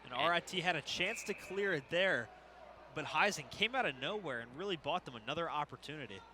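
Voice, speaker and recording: A man's voice: a little high, lively intonation, a little fast, pushed and bright. A man giving live analysis as a hockey color commentator. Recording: noisy arena ambience behind commentary.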